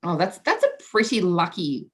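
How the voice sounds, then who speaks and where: A woman hosts a library author interview. She asks long, friendly questions in a deep, low voice. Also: wildly swooping pitch, normal speed, a bit bright. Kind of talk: conversational interview.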